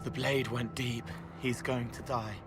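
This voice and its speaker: An actor playing an earnest, otherworldly young man who gives cryptic warnings in short halting phrases, in a video game. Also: mid-range voice, flat delivery, close to an everyday voice. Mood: ominous, hushed. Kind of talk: video game cutscene acting. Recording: music clearly behind the voice.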